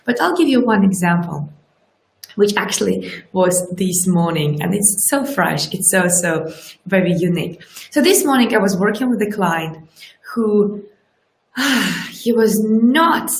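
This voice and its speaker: A woman in her forties whose first language is Russian, speaking as a life coach in a lively live-streamed motivational talk and often checking in with "right?". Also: medium pitch. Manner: lively, animated, keeps asking "right?". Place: small indoor room with curtains. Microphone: webcam at desk distance. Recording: roomy, echoey webcam audio.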